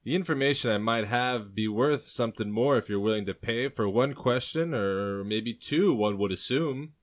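A man's voice: medium pitch, very animated, a little slow, a bit bright, voice pushed up. A man voicing a shifty, sly barkeep in an audiobook story, eager and wheedling as he angles for coin.

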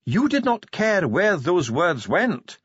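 A man's voice: high voice, big pitch swings, slow pace, fairly bright tone, slightly rough, no echo, highs cut off, pitched above the performer's own voice, full character voice. A man performs a haughty male dwarf official for an audiobook. The voice sits well above a normal male register and swings widely. He is pedantic and condescending, needling with icy precision. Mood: accusing. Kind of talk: audiobook character acting.

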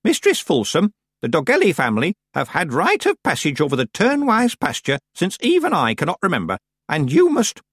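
A man voicing a proud, bossy, mischievous very old woman in an audiobook story, with a high, shrill, imperious delivery. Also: huge pitch swings, brisk, fairly bright tone, husky, dry recording, clean studio sound, heavily acted. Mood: imperious scolding. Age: over 100. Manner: imperious, theatrical scolding.

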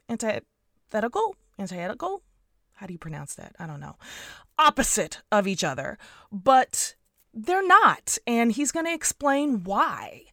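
Woman podcast host, bubbly, gushy, mid-pitched, dry close sound. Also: dry recording. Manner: bubbly, gushing, quick asides. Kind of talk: casual podcast interview.

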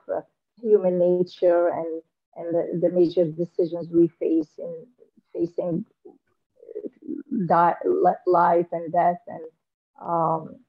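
A middle-aged woman from Syria, a guest author, talking thoughtfully in a casual interview with frequent pauses and fillers. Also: lowish voice. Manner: soft-spoken and thoughtful, with many fillers and restarts. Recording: lo-fi remote interview audio.

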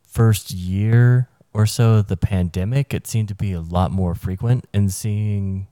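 A man co-hosting a casual podcast, speaking in a measured, hesitant way with many pauses and uhs. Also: fairly low pitch, steady tone, mellow, no room sound, studio quality. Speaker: podcast co-host.

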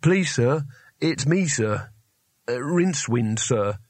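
A man's voice: a little high, very animated, moderate pace, a bit bright, husky, no room sound, pitched above the performer's own voice, full character voice. A man voicing a nervous, self-pitying, sarcastic failed male wizard in a comic storybook reading. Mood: frightened. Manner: anxious, stammering, sarcastic.

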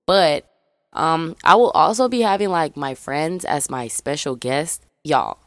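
Chatty young woman, low voice, dry sound. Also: unhurried, fairly bright tone, no room sound. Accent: faded Memphis Southern US. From Memphis, Tennessee, USA. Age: young adult. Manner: chatty, rambling, addresses listeners as y'all. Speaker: podcast host. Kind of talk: casual solo podcast intro.

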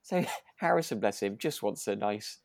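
A man's voice: on the low side, animated, normal speed, gravelly, a little mellow, dry recording, clean studio sound. A British man co-hosting a podcast, chatting casually and somewhat tentatively as he recaps a radio drama. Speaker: podcast co-host.

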